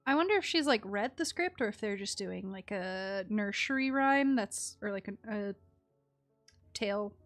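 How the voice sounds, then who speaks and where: A woman's voice: medium pitch, animated, moderate pace, a bit bright, soft background music. A woman streaming a game with casual, giggly, reactive commentary.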